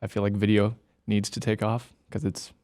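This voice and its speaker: A man's voice: fairly low pitch, little pitch movement, normal speed, mellow, no room sound. A young man from Iowa, a student videographer, answering interview questions hesitantly with lots of fillers in a podcast studio. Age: young adult.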